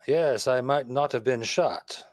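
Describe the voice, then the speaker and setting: A man's voice: medium pitch, a little slow, lightly acted. A man playing a witty, nonchalant amateur detective and husband in a vintage radio mystery. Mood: evasive, dry. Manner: wry, evasive banter.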